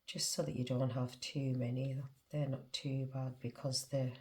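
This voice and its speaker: A woman's voice: rumbling low, little pitch movement, normal speed, darkish tone. A woman with a Northern English accent, congested with a cold, casually talking through a crochet demonstration as she works. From England. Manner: chatty, talks to herself while working. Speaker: crochet tutorial host.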